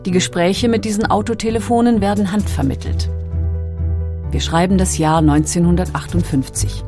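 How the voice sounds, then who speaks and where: Low-voiced woman narrating over music. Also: steady tone, moderate pace. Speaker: documentary narrator. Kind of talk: scripted narration. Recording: music behind the voice. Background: background music.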